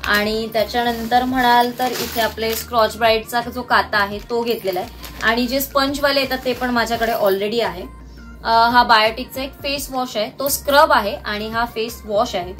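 A woman speaks in a fairly high voice. She talks casually to her viewers, going through things one by one in an explanatory way. Faint music plays behind her as she records in a room at home. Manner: explanatory, itemising. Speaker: vlogger. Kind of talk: casual product haul chat to viewers. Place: small indoor room at home, seated on the floor.

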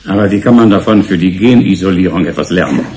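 An actor playing a defensive genetics professor in a German radio drama, brusque and matter-of-fact. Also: male voice, low voice, fast, darkish tone, natural voice. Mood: pondering. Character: male genetics professor, dry, defensive, unsentimental scientist. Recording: faint background noise.

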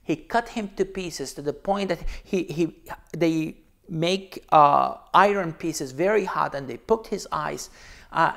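Middle aged pastor guy, arabic accent, really creaky gravelly voice, explaining stuff emphatically. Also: fairly high pitch, fairly bright tone. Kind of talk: explanatory talk to camera. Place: small indoor room, seated.

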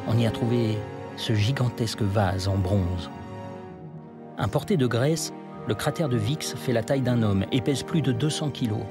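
A man narrating a historical documentary from a script, in a measured storytelling manner. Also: medium pitch. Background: music.

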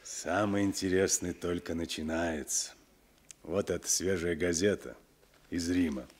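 An actor in a 1960s Soviet radio play playing a wry, unhurried police sergeant, a loyal subordinate. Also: male voice, deep voice.

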